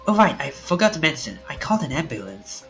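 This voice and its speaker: A desperate, stubborn visual novel protagonist, played by a man, speaks with urgency and passion. The voice is bright and forceful, with faint music underneath. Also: fairly high pitch, very animated, fast talker, voice pushed up. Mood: urgent resolve. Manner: urgent, impassioned, self-questioning. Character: desperate, stubborn man caught in a deadly curse.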